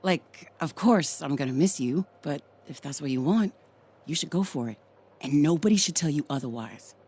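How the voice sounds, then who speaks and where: Wry, brainy young scientist. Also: female voice, low voice, lively intonation, normal speed, warm tone, slightly rough, exaggerated voice. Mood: wistful. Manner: dry, casual, sarcastic. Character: wry, brainy young female scientist, sarcastic but hopeful. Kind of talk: video game voice acting.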